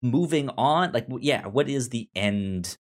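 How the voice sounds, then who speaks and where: A man hosting a casual review chat, speaking haltingly and often repeating or restarting his words. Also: medium pitch, expressive. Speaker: podcast host.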